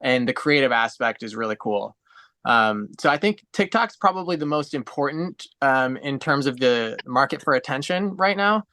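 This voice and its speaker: A man's voice: mid-range voice, expressive, moderate pace, pushed and bright, no room sound. A man in his twenties on a video call, chatting casually and explaining things at length in an earnest way. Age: 20s. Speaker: guest on a livestream.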